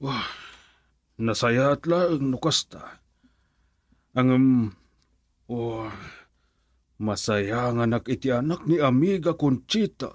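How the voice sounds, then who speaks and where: A man's voice: medium pitch, lively intonation, takes it slowly, pauses often, dry recording, studio quality. A man playing a loving, resigned middle-aged father in an Ilocano radio drama, with an Ilocano Filipino accent. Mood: resigned, concerned.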